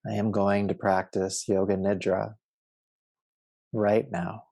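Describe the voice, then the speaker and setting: Low, gravelly man guiding meditation. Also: level tone, warm tone, no echo. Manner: soothing, measured, instructive. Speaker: yoga nidra meditation teacher. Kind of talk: guided relaxation meditation.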